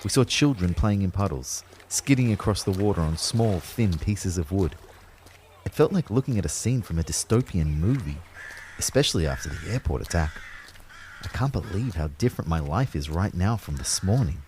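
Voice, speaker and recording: A man's voice: sits low, expressive, normal speed, darkish tone, slight background noise. An actor playing a wry, uneasy young man who narrates his own afterlife in an audio drama, speaking in a hesitant, conversational way. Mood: reflective. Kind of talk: first-person audio drama narration and dialogue.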